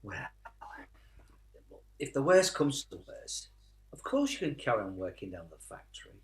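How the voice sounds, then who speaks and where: A man's voice: on the low side, big pitch swings, moderate pace, breathy edge, full character voice. Hearty British dad, played by a man, swooping intonation. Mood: gently reassuring. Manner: blunt, colloquial, affectionate. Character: hearty, affectionate working-class father in wartime Britain. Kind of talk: radio play acting.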